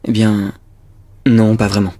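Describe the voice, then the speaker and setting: A man acting as a grave, protective regime official in an audio drama, speaking formally in short, restrained sentences with a husky voice. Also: fairly low pitch, level tone, slow pace, mellow. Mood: earnest, reassuring. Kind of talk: intimate French radio drama acting.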